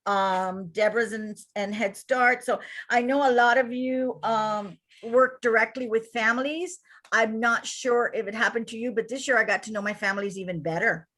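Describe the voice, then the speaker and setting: Bright-voiced Cuban-accented woman presenter. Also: fairly high pitch, moderate pace. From Cuba. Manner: energetic, animated, speaks directly to attendees. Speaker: childcare center director. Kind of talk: webinar presentation.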